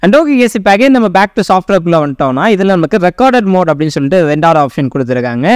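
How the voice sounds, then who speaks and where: A young man with a Tamil accent narrating a software tutorial, addressing viewers directly and mixing in English terms. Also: high voice, clear, resonant tone, no echo, studio quality. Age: young adult. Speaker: tech tutorial presenter.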